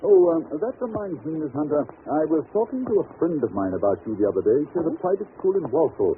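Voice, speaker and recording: A commanding English detective with animated, swooping intonation, clever and imperious. He speaks in clipped, theatrical old radio drama style, on a muffled vintage recording with a faint hiss. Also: fairly high pitch, fast. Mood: wry. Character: brisk, commanding, clever English male detective, middle-aged.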